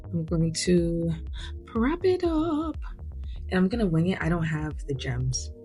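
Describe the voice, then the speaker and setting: Low-voiced New York woman chatting. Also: big pitch swings, a little slow, dark tone, soft background music. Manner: verbose, bougie, candid. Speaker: vlogger. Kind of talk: chatty commentary while doing makeup. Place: home glam room.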